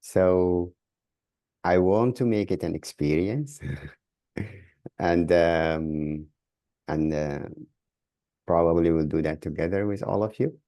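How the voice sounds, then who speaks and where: A man from Sudan with a Sudanese accent, answering as a podcast guest in a halting way, repeating words as he searches for phrasing. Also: low-pitched, warm tone, no echo. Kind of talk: interview answers.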